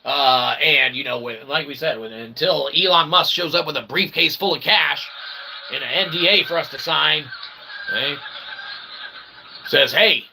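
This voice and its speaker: A man's voice: a little high, unhurried, vocal fry, background noise. A man giving a rambling casual monologue to viewers as a channel host, with wordy mock-formal phrasing, frequent okay tags and a self-deprecating manner. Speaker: independent channel host and developer.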